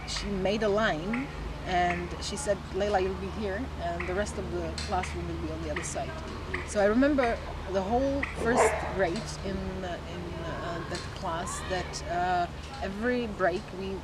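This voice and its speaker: A woman in her forties from the Czech Republic, whose first language is Czech, talking reflectively with many pauses in an interview. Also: fairly low pitch. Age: 40s. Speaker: guest. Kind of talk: casual interview. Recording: loud background noise.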